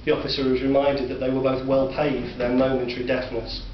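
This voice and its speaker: A man with a Northern English accent narrating a dark story in a measured, sombre way. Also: mid-range voice, level tone. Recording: distant, roomy, dull recording with faint hiss.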